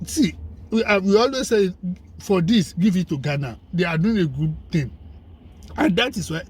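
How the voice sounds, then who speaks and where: A man with a fairly high voice and a husky edge talks as a guest in a discussion. He argues emphatically, piling up lists and rhetorical questions to drive each point home. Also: lively intonation, moderate pace, darkish tone. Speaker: guest commentator. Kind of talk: conversational discussion.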